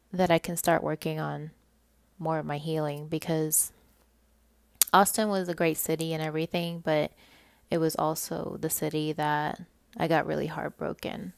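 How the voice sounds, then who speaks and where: A woman's voice: on the low side, creaky, clear, resonant tone, dry recording. A woman with a Puerto Rican accent in her thirties, candidly chatting through a personal podcast monologue and laughing often. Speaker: podcast host.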